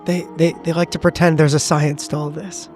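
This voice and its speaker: A man improvising in character as a tabletop game player, speaking in a measured, analytical way. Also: fairly high pitch, flat delivery, a little mellow. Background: background music.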